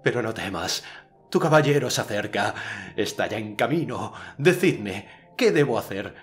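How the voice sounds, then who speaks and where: A man's voice: fairly low pitch, expressive, moderate pace, slight background noise, pitched above the performer's own voice, close to an everyday voice. An adult man voicing a vain, lovesick young knight in an audiobook, speaking in a theatrical, courtly way.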